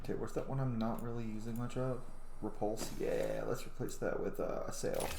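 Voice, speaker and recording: A man's voice: mid-range voice, little pitch movement, fairly quick, vocal fry, noisy background. A man in his thirties giving blunt, sweary, casual commentary on a video game he is playing. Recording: roomy sound under loud game music. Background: loud game music.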